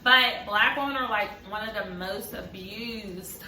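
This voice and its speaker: A woman with a high-pitched voice, talking emphatically in a casual group discussion and repeating her points. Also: a little slow, reverberant, crowd noise, poor audio.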